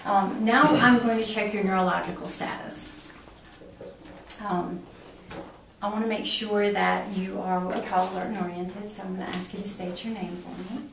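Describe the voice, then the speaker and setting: A woman's voice: mid-range voice, steady tone, normal speed, vocal fry. A middle-aged woman giving a clinical exam demonstration as a nursing instructor, explaining each step aloud to the patient. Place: small block-walled exam room. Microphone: camera a few feet away. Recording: roomy, distant camera mic, faint noise.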